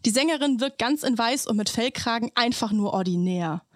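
A woman's voice: a little high, lively intonation, fairly quick, dry recording, studio quality. A woman co-hosting a podcast, telling a story conversationally in an indignant, emphatic, colloquial way and quoting as she goes. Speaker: podcast co-host.

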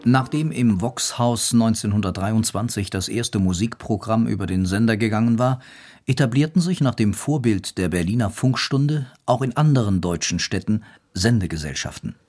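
A man narrates a radio documentary from a prepared script. His voice sits on the low side, and he delivers it as scripted narration. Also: expressive, normal speed, darkish tone.

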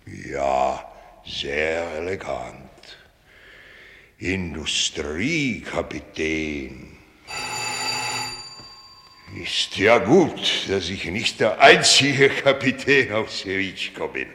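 An actor playing a gruff, folksy old sea captain in a German radio drama, blunt and muttering, with the odd English sailor phrase. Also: male voice, deep voice, very animated, drawn-out words, pauses often, bright, forceful tone, in a large hall, exaggerated voice. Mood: musing, wry.

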